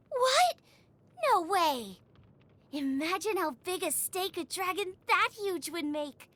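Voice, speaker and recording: A woman voicing a bubbly, eager, food-obsessed young woman chef in an expressive video game style, chatty and full of exclamations. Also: very high-pitched, heavily acted. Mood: amazed, eager. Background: music.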